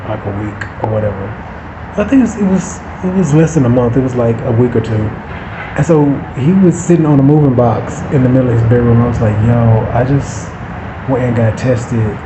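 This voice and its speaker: A man in his forties telling a personal story casually, rambling and correcting himself, acting out past conversations. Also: mid-range voice. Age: 40s. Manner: rambling, self-correcting, acts out remembered conversations. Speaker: vlogger. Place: room with an open window, abroad. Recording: roomy, dull sound with loud background noise. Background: music and street traffic through the window.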